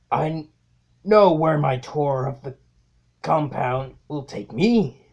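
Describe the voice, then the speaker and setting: A man acts out a tyrannical robot warlord with a gravelly, creaking edge. The delivery is slow and grandiose, swinging widely in pitch like a comic-book villain giving a monologue, with sardonic mutters in between. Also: exaggerated voice. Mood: weary, disdainful. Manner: theatrical, halting monologue with muttered sardonic asides. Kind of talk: amateur comic dub acting.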